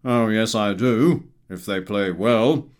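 Man playing a hearty, blunt gentleman, smooth-voiced. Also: on the low side, slow pace, pitched below the performer's own voice. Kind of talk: audiobook character dialogue.

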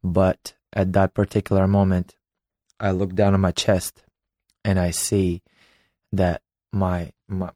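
A man in his late twenties from Ukraine, telling his own story as a former competitive swimmer in a slow, halting way. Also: deep voice, level tone, dark tone, no echo, clean studio sound. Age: late 20s. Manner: unhurried, halting, recounting a memory. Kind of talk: personal storytelling.

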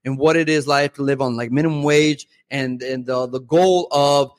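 A man's voice: mid-range voice, normal speed, no room sound, studio quality. A man whose first language is Spanish, talking as a podcast guest in a rambling, casual way with many fillers. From Mexico. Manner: rambling, lots of fillers and restarts. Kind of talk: casual interview chat.

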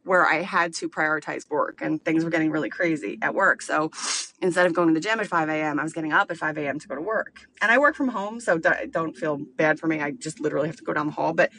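A woman's voice: low voice, fast talker, pushed and bright, poor audio. A woman in her late thirties hosting a podcast, talking through a casual solo monologue in a candid, rambling way full of filler words. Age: late 30s. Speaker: podcast host.

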